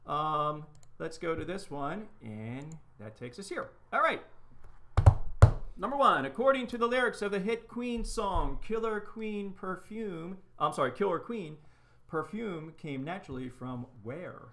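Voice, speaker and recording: A man's voice: high voice, big pitch swings, unhurried, sharp tone. Man reading corny jokes and trivia. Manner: corny joke delivery, reads trivia aloud. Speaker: co-host. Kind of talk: casual live-stream chat. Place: small shop room with framed wall display, indoors.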